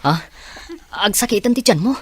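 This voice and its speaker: A woman acting in an audio drama, husky and anxious. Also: medium pitch, animated, moderate pace, a bit bright, no room sound.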